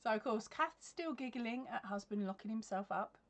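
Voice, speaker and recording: A woman's voice: a little high, expressive, moderate pace. A woman, chatting casually on a crochet livestream from her craft room, laughing often and filling gaps with ums. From England. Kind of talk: casual live-stream chat reading comments. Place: craft room at home with yarn shelves. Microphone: webcam at desk.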